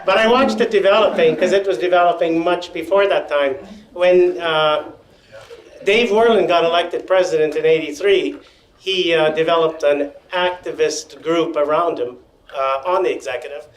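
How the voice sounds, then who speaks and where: An older man telling stories at a panel in a meeting room, loud-voiced with wry joking asides, feeling unwell and dizzy. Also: fairly high pitch, moderate pace. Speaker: panel speaker. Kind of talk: storytelling at a panel. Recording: echoey room.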